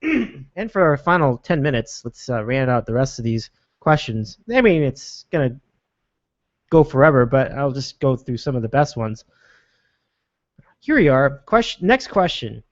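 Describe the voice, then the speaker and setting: A man hosting a show, reacting warmly and upbeat, often halting and restarting his sentences. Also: mid-range voice, big pitch swings, dry recording. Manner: upbeat, affirming, halting with fillers. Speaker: host. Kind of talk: casual chat. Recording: dull, dry lo-fi sound.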